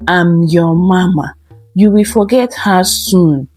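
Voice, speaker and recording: A woman's voice: fairly low pitch, takes it slowly, darkish tone, husky, soft background music. A woman storyteller voicing an outwardly sweet, possessive, secretly sinister aunt in a soothing manner. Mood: possessive crooning.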